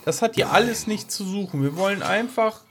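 A man's voice: high voice, animated, brisk, slight background noise. A man co-hosting a podcast, chatting casually and colloquially and rambling along. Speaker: podcast co-host. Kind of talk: casual chat about science fiction.

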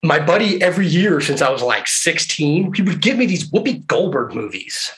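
A man's voice: on the high side, sing-song, moderate pace, a bit bright. A man in casual chat who rambles, swears freely and laughs often.

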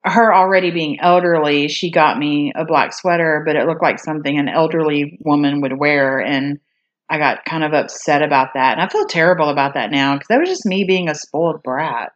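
Low-voiced woman podcast co-host. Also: highs cut off. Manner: plainspoken, quick to laugh and joke. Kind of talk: casual chat.

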